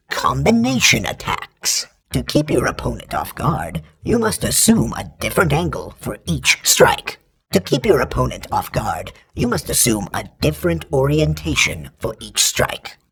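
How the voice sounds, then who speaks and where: A synthetic training droid for a video game. It sounds very husky and heavily gravelled, with a robotic filter. It issues formal, deadpan instructions and announcements, yet its pitch swings noticeably. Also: full character voice. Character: clipped, emotionless robotic training droid giving combat drills. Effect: robotic voice processing. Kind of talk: scripted video game voice lines.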